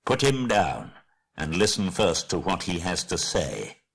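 Man playing a husky, commanding old wizard. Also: deep voice, sing-song, a bit bright, heavily acted. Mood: wry, stern. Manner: deliberate, wry, authoritative. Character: commanding old male wizard, wry and stern.

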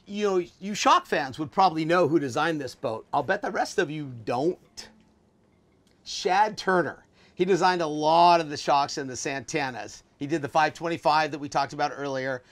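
High-pitched man, casual boat host. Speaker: video host. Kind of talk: casual boat tour talk to camera. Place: small sailboat cabin.

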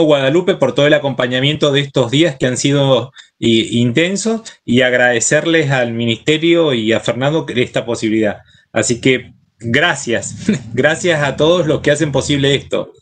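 A middle-aged Argentine man speaks informally over a video call at a medium pitch. His delivery is halting, and he repeats words as he goes through his thanks. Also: a bit bright. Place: indoor room with bookshelves. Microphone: computer mic on a video call.

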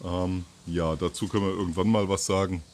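Male video host, fifties, lowish voice, halting and informal delivery, recorded outdoors with faint background noise. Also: fairly even intonation, darkish tone. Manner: halting, thinking aloud with pauses and repeats. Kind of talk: informal monologue to camera. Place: outdoors on a terrace or balcony by trees.

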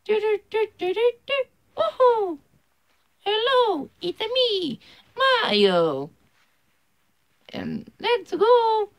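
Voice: very high voice, very animated, very slow, long pauses, pitched above the performer's own voice, heavily acted. An amateur puppeteer voicing a cheerful, bumbling cartoon plumber hero in a homemade toy show, with exclamations and whoops.

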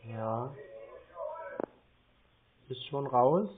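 A man's voice: medium pitch, animated, drawn-out words, narrow, tinny audio. A man in casual phone talk with a laconic, muttering way of speaking. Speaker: scam-baiter posing as a customer on a phone call.

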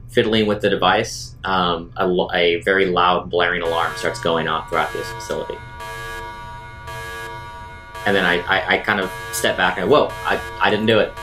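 Low-voiced, hesitant man playing along. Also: steady tone, normal speed, sharp tone. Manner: hesitant, feeling out his words. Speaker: tabletop role-playing player. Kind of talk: casual improvised game talk. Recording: clear music bed behind voice.

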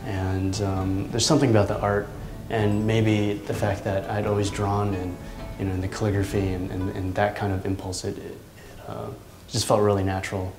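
Man, thirties, low flat voice, hesitant and reflective, echoey studio. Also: soft background music. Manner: thoughtful, halting, many ums and restarts. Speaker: artist. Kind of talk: reflective interview talk. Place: large indoor art studio.

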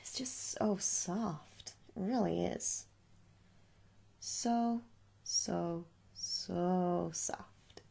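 Soft-spoken woman with a creaky low voice. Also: in a whisper. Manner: gentle, repeats words slowly, reassuring. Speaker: ASMR performer playing a shop assistant. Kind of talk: soft-spoken ASMR roleplay sales pitch. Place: small room indoors.

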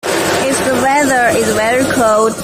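A Chinese-accented woman with a fairly high voice gives hands-on instructions in short, simple phrases. She speaks slowly and haltingly, as a native Mandarin speaker would in a second language. Clear workshop noise and hum sit behind her. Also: fairly even intonation. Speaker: machine installation demonstrator. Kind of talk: step-by-step hands-on equipment instruction. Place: industrial workshop, indoors. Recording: noisy workshop with vehicle-like hum.

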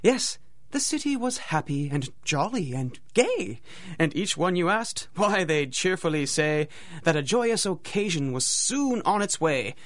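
A man's voice: fairly high pitch, big pitch swings, measured pace. A man narrating a radio drama in a theatrical, dramatic storytelling manner.

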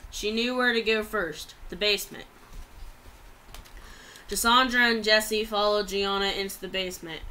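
A woman's voice: mid-range voice, pushed and bright, smooth, low-quality recording. A young adult woman reads a written story aloud plainly, stumbling over some words, in a small room at home on a laptop microphone. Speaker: amateur writer reading own story.

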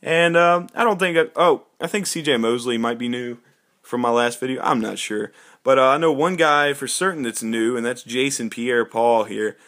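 Young male gaming host, bright forward voice, loose and conversational. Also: medium pitch, moderate pace. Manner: chatty, informal, rambling. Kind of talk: casual gameplay commentary.

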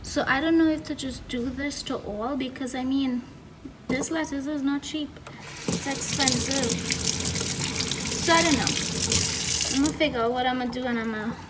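A young Trinidadian woman with a fairly high voice talks casually through her cooking, as a vlogger does. She is recorded a little away from the microphone in a home kitchen, with clear noise behind her. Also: fairly even intonation, normal speed, pauses often. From Trinidad and Tobago. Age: 20s. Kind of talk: casual cooking vlog narration. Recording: noisy kitchen, distant microphone.

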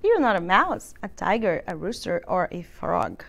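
A middle-aged woman reading a children's picture book aloud, expressive and deliberate, as if for small children. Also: fairly low pitch, gravelly. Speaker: children's librarian, storytime reader. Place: library room with bookshelves.

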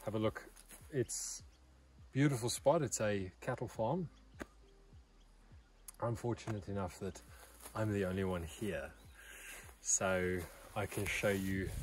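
A man in his thirties talking casually and haltingly to camera as a solo vlogger, in an unhurried way. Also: lowish voice. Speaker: vlog host. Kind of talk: casual solo camping vlog. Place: outdoors in an open valley, partly inside a car. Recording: far from the mic, outdoor ambient noise.

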